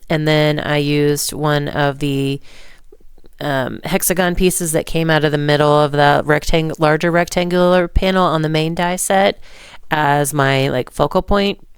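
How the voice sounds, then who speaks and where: A woman narrating a card-making tutorial in relaxed, rambling steps, hoarse and stuffy from a cold. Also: low voice, unhurried, dry recording, studio quality. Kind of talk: voice-over craft tutorial.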